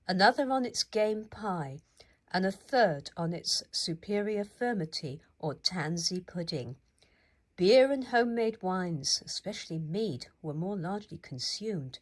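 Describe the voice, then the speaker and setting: A woman's voice: medium pitch, animated, measured pace. Woman narrating a literary memoir. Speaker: audiobook reader.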